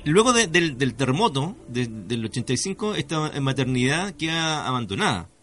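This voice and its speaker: A Chilean man hosting a conversational radio show on mysteries, speaking haltingly as he searches for words. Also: mid-range voice, very animated, a bit bright. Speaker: radio host. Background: music.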